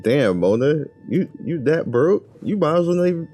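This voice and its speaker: A man's voice: a little high, expressive, normal speed, dark tone. A man giving casual gameplay commentary, thinking aloud in informal, slangy, muttered asides. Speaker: gaming video creator.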